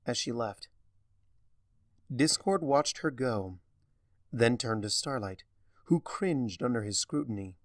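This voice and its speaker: A man narrating written fiction in an expressive storytelling manner. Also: medium pitch, takes it slowly, long pauses, dry recording, studio quality.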